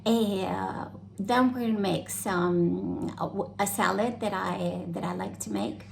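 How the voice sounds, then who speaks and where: A woman's voice: mid-range voice, expressive, takes it slowly, gravelly, slight background noise. An American woman hosting a home cooking demonstration in her kitchen, talking casually and often checking in with "okay?". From United States. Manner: chatty, tags sentences with "okay?". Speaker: club activity host.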